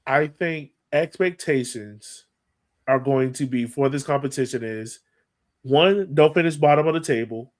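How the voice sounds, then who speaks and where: A man's voice: mid-range voice, unhurried. A man co-hosting a sports podcast, talking casually and thinking aloud as he gives his opinions on soccer. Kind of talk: casual soccer analysis chat.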